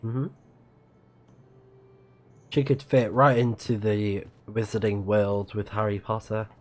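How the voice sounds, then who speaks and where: Slow-paced streamer murmuring over game music. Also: mid-range voice, flat delivery. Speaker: livestreamer playing a video game. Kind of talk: casual let's play commentary, reading text aloud. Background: video game music.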